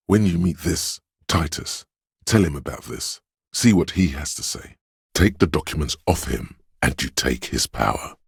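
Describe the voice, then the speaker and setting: An actor playing a stern, domineering inner voice of authority in a video game, with a pompous, imperious, measured delivery of dramatic narration. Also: male voice, a bass voice, lively intonation, gravelly, breathy edge, dry recording, clean studio sound, full character voice.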